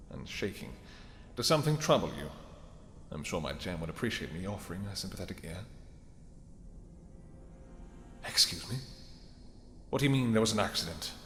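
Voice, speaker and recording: An actor playing a regal, possessive vampire king in a fantasy audio roleplay, with archaic, theatrical speech and halting pauses. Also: low-pitched, very animated, fairly bright tone, a little hiss or hum. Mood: mock concern. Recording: echoey hall reverb with music.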